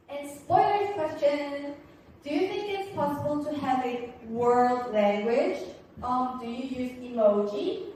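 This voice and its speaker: A teenage girl presents her research project in English, her clear as she works earnestly through a prepared script. Her voice sits high. She stands back from the mic in a strongly echoing room with faint background noise. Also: a little mellow. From Korea. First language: Korean. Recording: very echoey room, voice far from mic.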